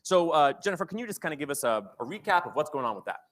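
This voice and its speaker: A man's voice: a little high, very animated, very fast, a bit bright. An American man hosting a live theater celebration, presenting partly from a script in an informal, bantering way. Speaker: host of a theater's season celebration. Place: live theater stage before an audience.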